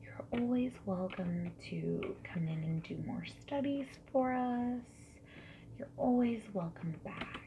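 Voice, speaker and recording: Woman doing soft whispery asmr interviewer voice, slow n friendly, noisy lofi recording w keyboard clicks. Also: on the high side, animated, narrow, tinny audio. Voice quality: soft, breathy, often dropping to a whisper. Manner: gentle, friendly, unhurried customer-service politeness. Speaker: ASMR performer playing a survey interviewer. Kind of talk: soft-spoken ASMR roleplay. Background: mechanical keyboard typing and clicks.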